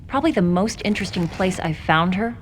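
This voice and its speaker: A woman playing a cool, guarded young former government agent in a video game cutscene, speaking in a measured, matter-of-fact way. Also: on the low side, expressive, a little hiss or hum, natural voice.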